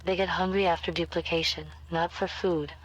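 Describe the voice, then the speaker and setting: A woman playing an eerie, unsettlingly calm captive former teacher in a horror story, deliberate and cryptic. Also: lowish voice, natural voice, low-quality recording. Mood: eerie, knowing. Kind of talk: scripted horror acting.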